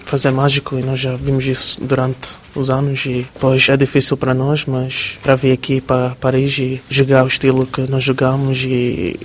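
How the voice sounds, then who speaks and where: A man from Britain, a professional footballer, giving a calm, plain-spoken post-match interview. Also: mid-range voice, moderate pace, few pauses, slight background noise.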